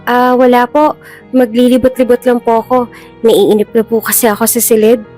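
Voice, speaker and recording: A woman's voice: fairly high pitch, flat delivery, moderate pace, mellow, pitched above the performer's own voice, lightly acted. A woman with a Filipino accent voicing an innocent, polite eight-year-old child in a storybook-style drama. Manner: meek, respectful. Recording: music bed behind the voice.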